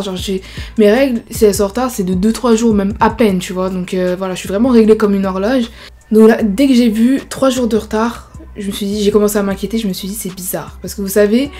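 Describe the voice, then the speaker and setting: A woman's voice: medium pitch, mellow. A woman in her early twenties telling her story in a chatty storytime vlog, full of fillers. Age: 20s. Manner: chatty, many fillers like genre and voilà. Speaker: YouTuber.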